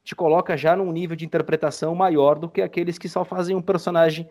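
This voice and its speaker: A man's voice: a little high, little pitch movement, fast talker, a little mellow. A Brazilian man chatting casually as a guest on a podcast about his creative work. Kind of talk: casual interview chat.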